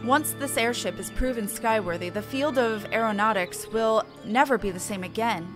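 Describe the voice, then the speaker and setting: A woman voicing an earnest small male game character with formal, archaic, measured phrasing. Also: fairly high pitch, music in the background, close to an everyday voice. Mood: polite, hopeful. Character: earnest, formal small grandson of an airship founder.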